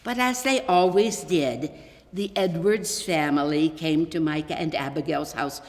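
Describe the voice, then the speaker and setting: Female narrator, lower register, expressive children's story reading, long hall reverb. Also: measured pace. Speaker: author reading own story aloud.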